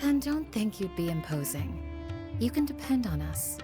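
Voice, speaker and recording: An actress playing a calm, protective village guardian woman in a video game, speaking gently and formally. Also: mid-range voice, moderate pace, natural voice. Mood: reassuring. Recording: music under the voice.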